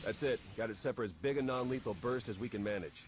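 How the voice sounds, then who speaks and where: A man's voice: medium pitch, expressive, quick pace, lightly acted. An actor playing a wry, protective male secret agent in his thirties in a video game cutscene. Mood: focused. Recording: game audio with noisy ambience behind.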